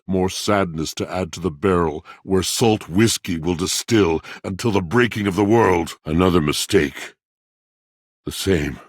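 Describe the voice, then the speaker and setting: A man's voice: sits low, no echo, studio quality, heavily acted. A man voicing a melancholy, proud, old-fashioned washing machine in a video game, brooding and theatrical, with formal, wordy speech. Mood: anguished, despairing. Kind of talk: video game character voice acting.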